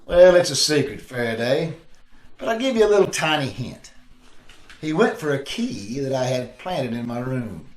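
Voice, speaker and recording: An actor playing a cocky, quick-witted amateur sleuth in a wisecracking 1940s radio detective style. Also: male voice, medium pitch, sing-song, close to an everyday voice. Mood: smug, wisecracking. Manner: wisecracking, slangy. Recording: roomy, echoing video-call audio.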